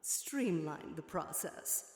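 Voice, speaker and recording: A woman's voice: deep voice, lively intonation, slow, creaky, exaggerated voice. A woman playing a gleeful, daredevil guide in a comedy spy spoof, drawing out her words with relish. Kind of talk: audio comedy acting. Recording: echoey hall with loud noise, clicks.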